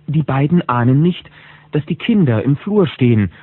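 A man's voice: a little high, moderate pace, breathy edge, narrow, tinny audio. A man narrating a children's audio drama as a storyteller, speaking to the listeners from a script. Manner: animated storyteller addressing listeners. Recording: band-limited old cassette with music.

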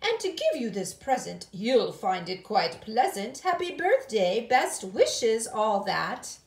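Woman playing a jaunty young gentleman in an echoey room. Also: fairly high pitch, big pitch swings, slow, exaggerated voice. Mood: jaunty, hurried. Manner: old-fashioned, jovial, exclamatory. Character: dapper gallant young gentleman cousin, jaunty and hurried. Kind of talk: children's rhyming storybook reading. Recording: roomy echo.

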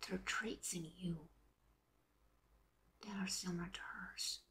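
A lovestruck teenage boy in a fan audio drama voiced by a woman, teasing yet wistful. The delivery is breathy and intimate, often close to a whisper. It moves slowly, as if confiding a secret. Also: lowish voice, steady tone, fairly bright tone, full character voice.

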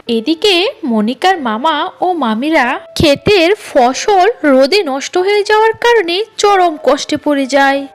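A woman narrating a scripted cartoon moral story as a storyteller. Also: high-pitched.

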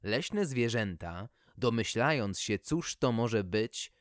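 A narrator reading a scripted children's story in an expressive, measured manner. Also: mid-range voice, no room sound, clean studio sound.

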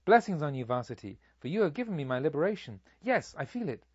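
A man's voice: a little high, very animated, fast, husky, dry recording, lo-fi sound. A man voicing a fervent, hopeful male spirit in an audiobook, with a declamatory manner. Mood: fervent relief. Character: weary male spirit revived into fervent hope.

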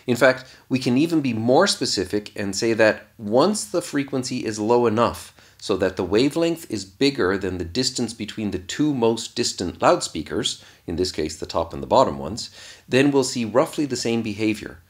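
A man's voice: mid-range voice, expressive, normal speed. A man giving scripted explanatory narration as an instructor, explaining things step by step in a didactic way.

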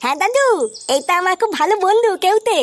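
A woman's voice: very high-pitched, lively intonation, fast talker, slight background noise. A woman voicing a cheeky, naive little boy in a comic cartoon dub, with a childish, whiny manner. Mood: obedient.

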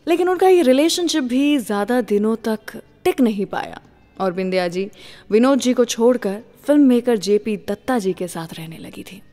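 A woman's voice: a little high, lively intonation, normal speed, dark tone, long reverb tail. An Indian woman hosting a radio show, telling stories to listeners in a scripted style and mixing in English phrases. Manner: mixes English words and phrases into the talk.

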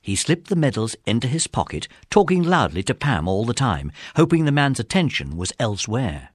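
Man narrating, lively pitch. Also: moderate pace, husky, no echo, studio quality. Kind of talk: scripted children's story narration.

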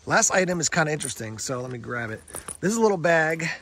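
Gravelly-voiced man chatting about his gear. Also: on the high side, lively intonation, fast talker, fairly bright tone, a little hiss or hum. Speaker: gear reviewer. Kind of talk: casual gear show-and-tell. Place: outdoors beside a pickup truck by woods.

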